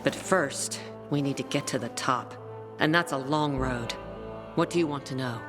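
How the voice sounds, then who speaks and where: An actress playing a brusque, hardened peacekeeper officer in a video game, blunt and matter-of-fact. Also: female voice, rumbling low, normal speed. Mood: brisk. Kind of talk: video game dialogue acting. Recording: music behind the voice.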